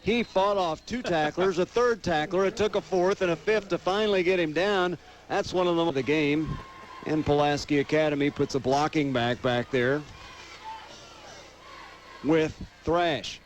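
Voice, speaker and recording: A man's voice: fairly high pitch, unhurried, slight background noise. An American man doing live radio play-by-play commentary of a football game.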